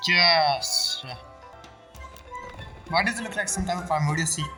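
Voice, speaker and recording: A woman's voice: on the low side, a little slow, music in the background. A woman streamer giving casual, musing gameplay commentary with non-native English phrasing. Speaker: game streamer.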